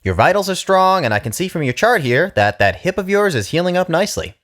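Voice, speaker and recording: A man voicing a young male doctor who is brisk, robotically pleasant and detached. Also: sits high, sing-song, fairly bright tone, pitched above the performer's own voice. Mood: detached cheer.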